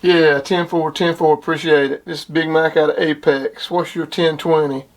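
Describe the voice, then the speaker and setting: Southern guy doing casual cb radio talk, voice a bit high, hiss and clicks behind him. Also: steady tone, normal speed. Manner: plain-spoken, uses CB lingo. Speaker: CB radio operator and video host. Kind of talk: casual CB radio chat and commentary. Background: radio noise and clicks.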